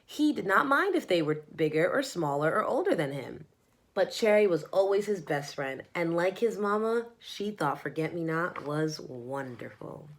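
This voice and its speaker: A woman reading a children's storybook aloud as narrator, in an expressive storytelling manner. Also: lowish voice, normal speed. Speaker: storybook reader.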